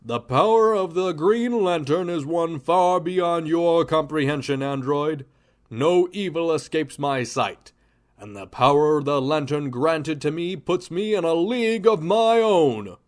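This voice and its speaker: A heroic superhero leader played by a man in a radio drama, pitched a little high. He is bold and righteous, declaiming grandly with total confidence. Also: measured pace, lightly acted. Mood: triumphant, commanding.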